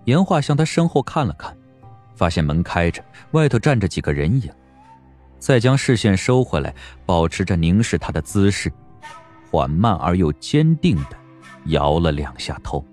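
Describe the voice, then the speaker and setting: A man narrating a period audio drama in a measured, literary storytelling style. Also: low voice, big pitch swings, darkish tone, faint music behind.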